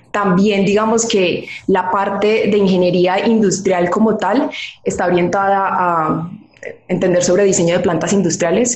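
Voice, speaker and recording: A woman's voice: medium pitch, darkish tone, reverberant, poor audio. A young adult woman from Colombia with a Colombian accent, telling her story as an interview guest over a video call, chatty and full of fillers. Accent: Colombian Spanish.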